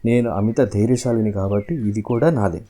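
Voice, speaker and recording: A man's voice: on the low side, fairly quick, dark tone, close to an everyday voice. A man voicing a boastful, bullying lion king in a fable, speaking with grave self-importance.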